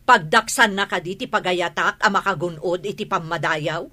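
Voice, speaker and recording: A woman's voice: fairly low pitch, moderate pace, bright, forceful tone. An actress with a Filipino Ilocano accent playing a haughty, domineering mother and mistress of the house in a radio drama, scolding and imperious. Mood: indignant.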